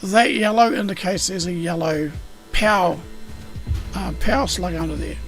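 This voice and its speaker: Male streamer with a high, slightly croaky voice, talking through a game over clear background music. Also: moderate pace, fairly bright tone. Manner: rambling, self-correcting, explanatory. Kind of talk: casual gameplay explanation.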